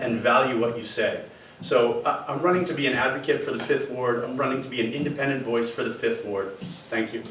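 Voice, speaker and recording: A man's voice: mid-range voice, a bit bright, slight background noise. A man running for city council, telling a story in his opening statement with fillers and repeated words. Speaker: political candidate. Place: theater stage before a live audience. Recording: roomy theater from far off.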